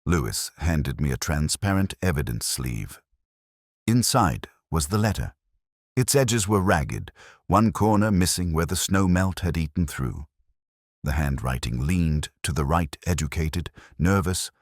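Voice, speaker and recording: Casting: male actor as brooding detective narrator, extremely low husky voice, literary and reflective, close dry sound. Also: expressive, unhurried, no echo, heavily acted. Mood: brooding, melancholy. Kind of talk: scripted first-person detective narration, audio drama.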